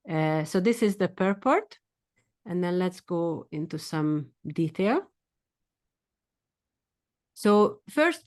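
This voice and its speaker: A woman with a Hungarian accent teaching a scripture study class, quick and eager, sometimes speeding up. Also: fairly low pitch.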